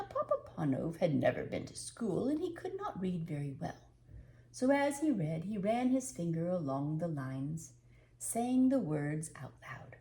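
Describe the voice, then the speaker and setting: An older woman reading a children's storybook aloud, unhurried and expressive, with dramatic pauses. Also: fairly low pitch.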